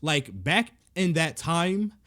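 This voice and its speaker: Man, rapper-producer, slangy and emphatic, pitch a touch high, motivational vlog delivery. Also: very animated, slow, bright, forceful tone. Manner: slangy, emphatic, heavy use of "like" and "literally". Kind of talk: casual motivational vlog talk.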